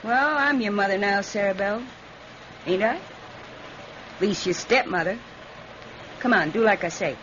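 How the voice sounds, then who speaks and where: A woman plays a sly, unfeeling Southern farm wife in a radio play. Her voice sits slightly high and has a country drawl. The old recording is dull, with noise behind it. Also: unhurried, pauses often, fairly bright tone, natural voice. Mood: placating. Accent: American rural Southern. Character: callous, evasive farm wife and stepmother. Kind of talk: 1940s radio drama acting.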